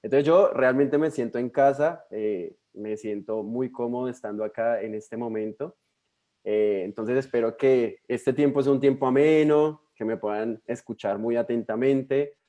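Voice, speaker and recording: Young Colombian man, mellow friendly voice. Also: mid-range voice, unhurried. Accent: Colombian Spanish. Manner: friendly, chatty, many fillers and restarts. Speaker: missionary guest speaker. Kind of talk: warm personal introduction to a church. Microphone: video call.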